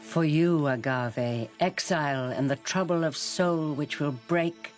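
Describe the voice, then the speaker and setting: A grave female devotee leading a chorus in a poetic radio drama. Her very low voice moves slowly, like an incantation, over faint music. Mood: solemn, mystical. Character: solemn female chorus leader, devotee of a god.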